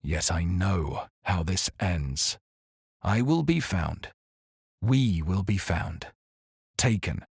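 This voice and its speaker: A man performs as an exiled mind doctor giving a grave confession in an audio drama. He is earnest and weary, with a lowish voice that swings expressively in pitch. The sound is close and very dry. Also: expressive, creaky, slightly rough, no echo, heavily acted. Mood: solemn, defiant pleading. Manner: solemn, deliberate, dramatic pauses. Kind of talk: dramatic scripted monologue, audio fiction.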